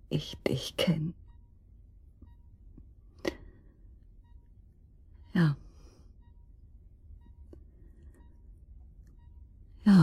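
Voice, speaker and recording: An actress playing an anguished middle-aged wife on a night vigil at her husband's hospital bed, breathy, halting and brooding, in an intimate radio drama monologue. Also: low voice, no echo. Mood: bitter. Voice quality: breathy, often dropping to a whisper. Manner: halting, brooding, addressing someone who cannot hear.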